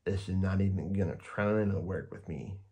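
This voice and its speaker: A scruffy storybook boy monster, acted out by a man, low and slobbery. He drags his words, trying hard to be terrifying, and ends up whiny and goofy. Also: warm tone, natural voice. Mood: whiny, sulky. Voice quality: slurping, slobbery. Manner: drawn-out, whining, trying to sound terrifying. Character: big scruffy whiny slobbering male monster with long tongue.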